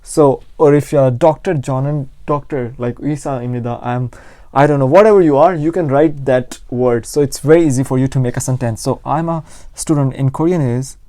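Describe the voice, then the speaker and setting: Male online language teacher, Pakistani accent, soft mellow tone, close dry sound, explanatory and repetitive for beginners. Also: mid-range voice, dry recording. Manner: explanatory, repeats phrases slowly for learners. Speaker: language teacher and channel host. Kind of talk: informal language lesson.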